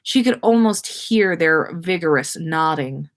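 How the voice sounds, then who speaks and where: Woman reading fiction out loud on a podcast, voice on the lower side. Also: lively intonation, measured pace. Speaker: podcast host reading listener stories. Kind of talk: story narration read aloud.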